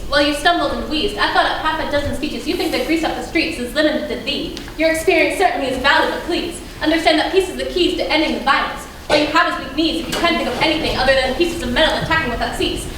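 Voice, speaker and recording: Young woman as earnest high-voiced teenage girl, very echoey. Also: fast, lightly acted. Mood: impassioned. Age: teens. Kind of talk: amateur school stage acting. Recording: very echoey stage, distant mic, noisy.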